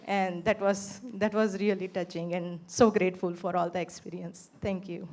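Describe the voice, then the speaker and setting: A woman giving a heartfelt personal testimony as a pilgrim, telling her story in animated bursts. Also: lowish voice, normal speed. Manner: animated storytelling, quotes herself in lively bursts. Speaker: pilgrim sharing reflections.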